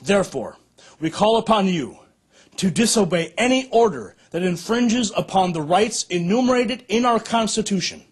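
An American man in his thirties delivering a formal scripted political address in a solemn, measured, declamatory manner. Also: high voice, breathy edge. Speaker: organization director giving an address. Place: indoor curtained room, at a podium.

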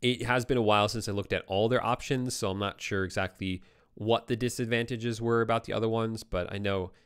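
Young male livestream host, slightly low voice, worn and hoarse from talking, relaxed answers into a close boom mic. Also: fairly quick. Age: young adult. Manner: relaxed, conversational, reads viewer comments aloud. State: voice getting tired and hoarse. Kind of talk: casual live Q&A. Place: home studio with brick wall. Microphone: boom-arm studio microphone close up.

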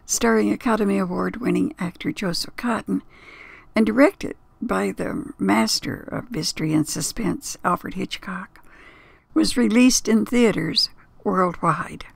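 A woman presenter with a creaky, husky voice reads a scripted introduction to a radio play. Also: medium pitch, dry recording. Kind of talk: scripted narration.

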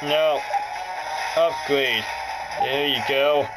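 A man's voice: mid-range voice, steady tone, very slow, poor audio. A man giving casual, off-the-cuff gameplay commentary as a Let's Play host, muttering short reactions to the action. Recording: loud game music over the voice. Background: loud music and game audio from a handheld console.

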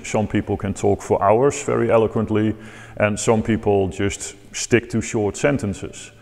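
A man in his forties from the Netherlands with a Dutch accent, calmly explaining how to learn organ improvisation, seated in a large church and filmed from a distance. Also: fairly low pitch, creaky. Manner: measured, thoughtful, gestures with hands while explaining. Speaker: organ teacher. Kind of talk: calm explanatory talk to camera. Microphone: far camera, seated at a table. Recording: long church reverb.

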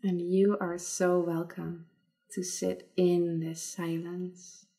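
Female meditation guide: mellow, very even delivery, soothing, leaving long pauses. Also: fairly low pitch.

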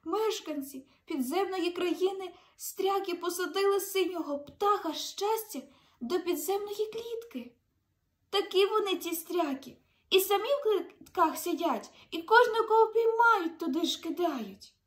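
A woman's voice: very high-pitched, lively intonation, measured pace, echoey room, voice pushed up. A young woman voicing an earnest little male snail from a storybook, speaking emphatically. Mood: distressed.

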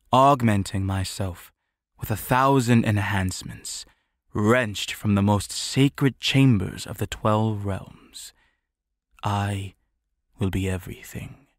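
A man voicing a grandiose immortal tyrant in an audiobook, declaiming solemnly and pompously with deliberate weight. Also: fairly low pitch, creaky, dry recording, clean studio sound, voice pushed down. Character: grandiose immortal male tyrant declaiming his holy book.

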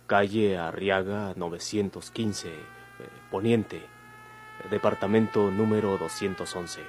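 A respectful young Mexican man, an outlaw's son, in old radio drama style. His voice is on the low side, over faint noise and hum. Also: steady tone, a little slow.

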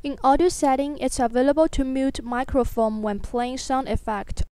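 High, clear-voiced woman narrating a tutorial. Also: fairly even intonation, normal speed, dark tone, no echo. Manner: instructional, step by step.

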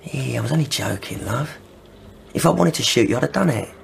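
An actor playing a menacing young deserter, husky, taunting and sneering in a radio drama. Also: male voice, medium pitch, expressive, fairly quick, slight background noise. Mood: volatile bullying. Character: menacing young male army deserter with a gun.

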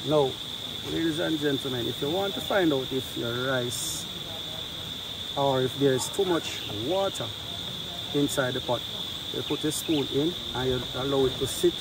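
A man's voice: mid-range voice, moderate pace. A man with a Jamaican accent giving casual commentary as host of an outdoor camping vlog. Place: outdoors at a riverside campsite. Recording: noisy outdoor recording with insect ambience. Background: insects and outdoor ambience.

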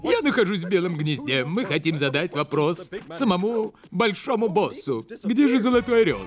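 Cartoon bird TV presenter: squeaky-high, swooping, excitable showman delivery. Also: normal speed. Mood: reporting. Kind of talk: cartoon dub. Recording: music under the voice.

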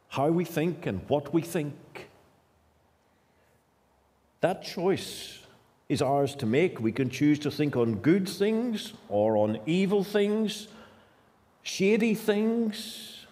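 A man's voice: on the high side, warm tone. A man in his fifties with a Northern Irish accent, preaching an emphatic sermon at a lectern in a church hall. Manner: emphatic, repetitive preaching with sudden bursts of speed. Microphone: stand mics at lectern, far camera.

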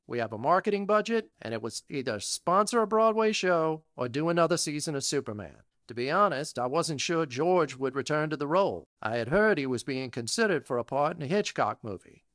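An actor playing an affable, blunt company chairman in an audio drama, with a creaky voice. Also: fairly high pitch, lively intonation, moderate pace, few pauses, a bit bright, no echo, studio quality. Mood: frank, businesslike.

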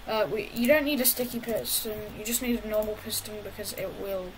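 A woman casually talking through a building tutorial over gameplay, halting often with fillers and restarts. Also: medium pitch, fairly even intonation, a little hiss or hum, rough recording. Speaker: gaming tutorial creator.

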